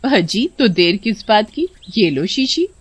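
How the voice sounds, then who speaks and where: A woman's voice: mid-range voice, sing-song, measured pace, slightly rough, full character voice. A woman voicing a scheming village wife in a cartoon, nagging and conspiratorial. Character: scheming village wife egging on her husband. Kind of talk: cartoon acting.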